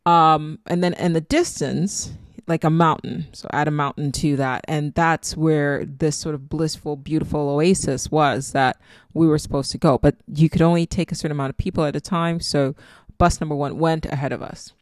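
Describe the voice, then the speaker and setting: A woman's voice: low-pitched, fairly even intonation, moderate pace, no room sound. A woman with a Nigerian accent hosting a solo podcast, musing reflectively and meandering as she talks. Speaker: podcast host. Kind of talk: casual solo podcast monologue.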